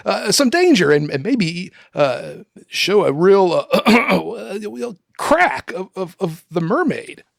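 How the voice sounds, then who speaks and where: Man playing an excitable high-pitched movie director. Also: gravelly, dry recording, clean studio sound, heavily acted. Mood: halting, eager. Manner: gushing, halting salesman patter. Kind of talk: comedic amateur radio play acting.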